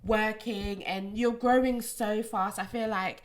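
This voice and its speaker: A woman. She talks easily, laughs often and slips into little acted-out quotes. Her voice sits at an ordinary middle pitch. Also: steady tone, unhurried, a little hiss or hum. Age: 20s. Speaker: podcast host. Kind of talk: casual podcast chat.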